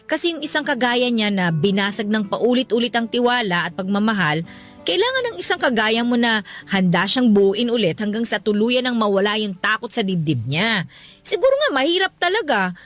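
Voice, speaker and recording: Casting: actress for caring big sister, fairly high voice, expressive swings, emphatic and encouraging, radio drama. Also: female voice, normal speed, soft background music. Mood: encouraging, earnest. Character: caring, encouraging older sister giving advice. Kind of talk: Tagalog radio-style drama acting.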